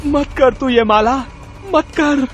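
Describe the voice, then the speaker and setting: An actor playing an anxious, pleading male circus clown in a cartoon horror story, excitable and panicky. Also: very high-pitched, slow, faint music behind.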